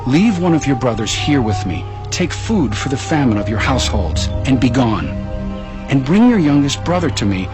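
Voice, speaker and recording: A male actor as an earnest son reporting back to his family in a Bible audio drama. His pitch swings in a lively, urgent way, with music clearly underneath. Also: moderate pace, lightly acted. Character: earnest son retelling a harsh lord's demands.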